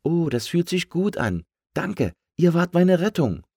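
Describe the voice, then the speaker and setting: A man's voice: a little high, big pitch swings, measured pace, no room sound, studio quality, voice pushed up, exaggerated voice. A man voicing a cheerful, friendly and grateful balloon in a children's story. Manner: bright exclamations, friendly.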